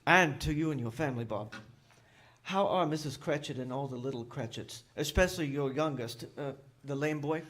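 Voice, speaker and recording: An actor voicing a good-natured, earnest young nephew in a theatrical radio play. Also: male voice, medium pitch, moderate pace, fairly bright tone, natural voice. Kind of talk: theatrical radio drama acting.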